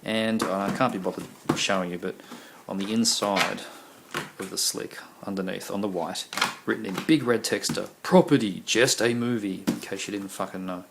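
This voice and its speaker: A man's voice: sits low, fairly even intonation, normal speed, gravelly. A man showing his video collection in a casual, rambling way, full of ums, while losing his voice. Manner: rambling, many ums and uhs. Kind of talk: casual show-and-tell. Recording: noisy home recording.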